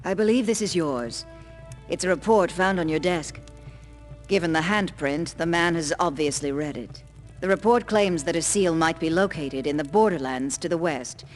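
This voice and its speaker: A woman playing the grave older leader of a sorceress order in a fantasy game, speaking in a measured, formal, authoritative way. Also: deep voice, soft background music, close to an everyday voice. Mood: grave, commanding. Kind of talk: scripted fantasy game acting.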